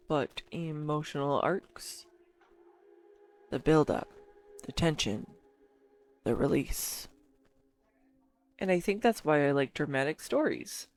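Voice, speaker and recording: A woman playing an earnest, bashful, talkative girlfriend in an intimate audio roleplay, speaking straight to the listener in a halting, teasing manner. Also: lowish voice, darkish tone.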